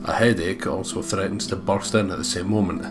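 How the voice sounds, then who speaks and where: A man with a fairly low voice narrates a visual novel as a let's play host, slipping between reading and banter. Guitar music plays clearly behind him. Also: steady tone, brisk. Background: guitar music from the game.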